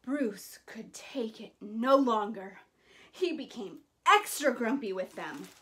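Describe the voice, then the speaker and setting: Bright, high-pitched woman reading a storybook. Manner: animated, deliberate, dramatic emphasis. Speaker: storyteller reading a picture book to children. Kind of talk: expressive children's story reading.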